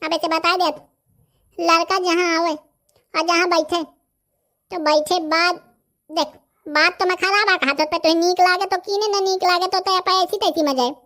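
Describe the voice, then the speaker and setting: Squeaky, pompous cartoon holy man. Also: steady tone, unhurried, long pauses, pushed and bright, full character voice. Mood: scheming, advising. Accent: Bundelkhandi. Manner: pompous, instructing, brusque. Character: bossy, sly village holy man in a comedy cartoon. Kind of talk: rustic comedy cartoon dialogue.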